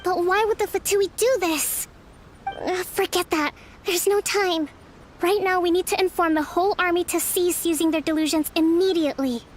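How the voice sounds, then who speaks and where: A woman's voice: very high-pitched, fairly even intonation, normal speed, a little hiss or hum. A woman playing a composed, decisive young resistance leader in a video game, speaking in a formal, commanding way. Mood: troubled, urgent.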